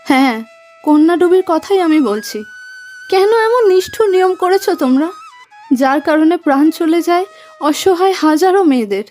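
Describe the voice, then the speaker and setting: Woman acting plaintive, high-pitched orphan village girl. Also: lively intonation, moderate pace, darkish tone, faint music behind. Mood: reproachful. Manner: plaintive, earnest, dramatic. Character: sorrowful, reproachful young orphan village woman. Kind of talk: dramatic cartoon voice acting.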